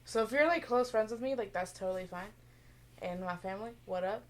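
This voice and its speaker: A woman's voice: mid-range voice, a little fast. A young woman co-hosting a podcast in casual chat, mumbling a bit and laughing often. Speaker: podcast co-host.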